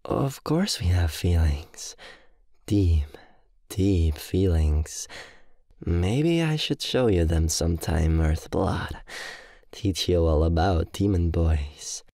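A man's voice: sits low, animated, takes it slowly, warm tone, dry recording, studio quality, full character voice. A man playing a cruel, smug young demon bully who drawls tauntingly in an audio roleplay. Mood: mocking, smug. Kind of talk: ASMR audio roleplay acting.

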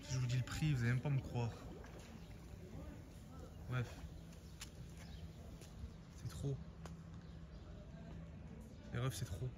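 Excitable slangy man vlogging on handheld camera, noisy background. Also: medium pitch, slow pace, pauses often. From Algeria. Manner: slangy, excitable, talks to viewers as "les gars". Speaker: travel vlogger. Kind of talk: casual travel vlog to camera. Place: outdoors and indoors on a road trip, hotel villa and restaurant. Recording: loud background noise. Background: loud ambient noise and vehicles.

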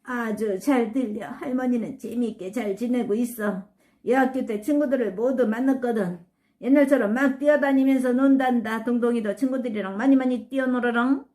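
A woman voicing a kind, cheerful grandmother in a storybook reading, speaking slowly and tenderly. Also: fairly high pitch, mellow, lightly acted.

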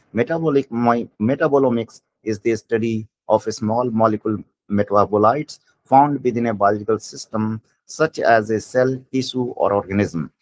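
A man's voice: mid-range voice, rough recording. Indian man lecturing over slides. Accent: Indian English. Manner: explanatory, reads slide text with frequent restarts. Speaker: bioinformatics lecturer.

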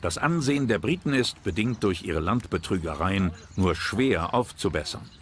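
A man's voice: low voice, animated, moderate pace, a bit bright, a little hiss or hum. A man reading scripted historical narration for a documentary. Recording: music behind the voice.

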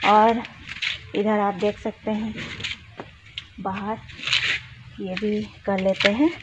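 Young woman vlogger from Jharkhand, slow and chatty, tired from chores, dull phone audio. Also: mid-range voice, level tone. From Jharkhand, India. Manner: chatty, showing chores while walking and working. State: tired from a day of physical work. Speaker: village vlogger. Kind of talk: casual vlog narration to camera. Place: outdoors in a village yard. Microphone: phone camera at arm's length. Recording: dull lo-fi phone audio with clear outdoor noise.

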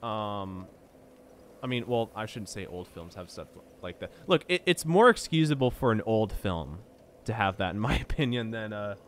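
A man's voice: lowish voice, animated, normal speed. Young guy gaming and ranting, stammers a lot, swears when annoyed, faint hiss behind. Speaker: gameplay streamer. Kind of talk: casual chat while gaming.

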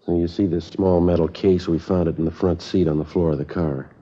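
An American actor playing a terse, deadpan male police sergeant in a 1950s radio drama, clipped and matter-of-fact. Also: low-pitched, fairly quick. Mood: businesslike. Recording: dull vintage radio recording with music.

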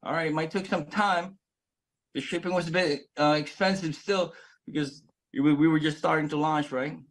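Earnest man from the Philippines, slightly high voice. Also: normal speed. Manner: earnest, excited storytelling with many restarts. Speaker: network marketing member sharing his story. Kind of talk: personal testimonial to camera. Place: small indoor room with plain wall. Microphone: phone camera at close range.

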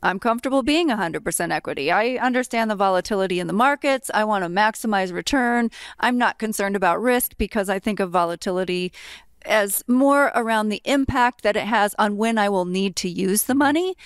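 A woman in her fifties, a retirement planning guest, explaining her approach in a measured, methodical way. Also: medium pitch, animated, clear, resonant tone, no room sound, studio quality. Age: 50s. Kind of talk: explanatory interview talk. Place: hotel suite set with armchairs. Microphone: boom-arm podcast mic.

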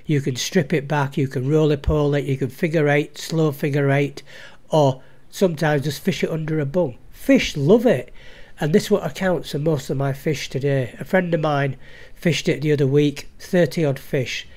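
A man's voice: a little high, steady tone, normal speed, darkish tone. A man giving a chatty, matter-of-fact fishing recap outdoors by a lake, recorded on a head-mounted action camera. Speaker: fly fishing vlogger. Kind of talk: casual fishing commentary. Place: outdoors at a stillwater lake bank.

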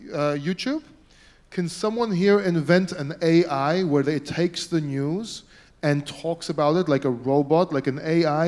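A man's voice: on the high side, darkish tone. Blunt, opinionated man panelist. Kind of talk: conference panel discussion. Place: large conference hall stage. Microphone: handheld microphone.

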